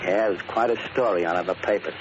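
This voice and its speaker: A dull, faintly noisy old radio recording carries an actor as a tough male gangster in hiding. He is gruff and blunt, bossing in slangy phrases with a fairly low voice, in 1940s radio drama fashion. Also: quick pace, natural voice. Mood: confiding.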